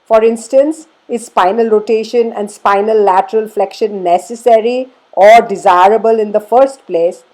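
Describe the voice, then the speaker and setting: Woman, slightly high voice, scripted instructional narration, formal, measured delivery. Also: a little mellow, rough recording. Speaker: golf instructor presenting.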